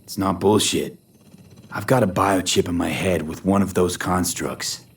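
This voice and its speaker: A young mercenary man from a video game, with a deep voice. He sounds weary and guarded, stubbornly playing down trouble in clipped sentences, over clear background ambience. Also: steady tone, unhurried, slight background noise. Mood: grim, weary. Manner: terse, understated, clipped sentences. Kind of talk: naturalistic video game acting.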